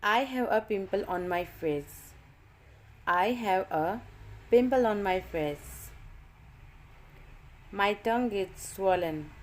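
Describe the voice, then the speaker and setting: A woman's voice: mid-range voice, expressive. A woman teaching English vocabulary, reading each simple sentence twice for learners to repeat. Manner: reads each sentence twice, measured and deliberate. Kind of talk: slow repeat-after-me vocabulary drill.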